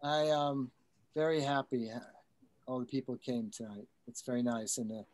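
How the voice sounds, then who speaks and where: A man's voice: mid-range voice, moderate pace, darkish tone. A man chatting casually and appreciatively after a poetry reading, with self-effacing, joking remarks. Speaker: poet who has just given a reading.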